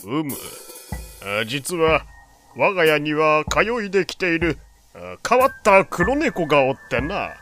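Pompous, doting old father, lively pitch. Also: a little slow, faint music behind, exaggerated voice. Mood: animated storytelling. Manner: old-fashioned pompous speech. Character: proud, doting old father, wealthy and long-winded. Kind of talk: Japanese audio drama acting.

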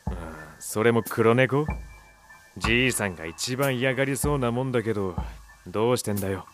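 A blunt young man of the teasing sort, acted by a man. His mid-pitched voice carries rough, casual phrasing and some impatience, in the manner of audio drama. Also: moderate pace, soft background music, close to an everyday voice. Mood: blunt, questioning. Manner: rough, blunt colloquial speech. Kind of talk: Japanese audio drama acting.

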